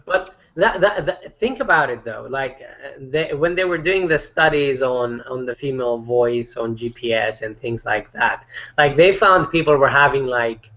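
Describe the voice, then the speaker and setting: A man with a voice that isn't deep talks in a loose group conversation. He rambles, restarts his sentences and leans on fillers. The sound is dull and lo-fi. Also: normal speed. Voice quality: not deep. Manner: rambling, many fillers and restarts, laughs between points. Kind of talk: casual group chat.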